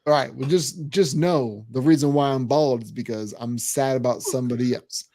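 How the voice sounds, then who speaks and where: A man in his forties hosting a casual sports podcast, teasing and animated, often restarting his words. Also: medium pitch, moderate pace. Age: 40s. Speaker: podcast host.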